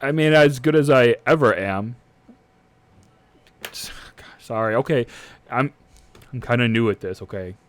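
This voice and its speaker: A man as a halting, nervous militia captain. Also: medium pitch, close to an everyday voice. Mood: flustered, apologetic. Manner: halting, stammering, hesitant. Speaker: game master of a tabletop game. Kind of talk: improvised in-character roleplay.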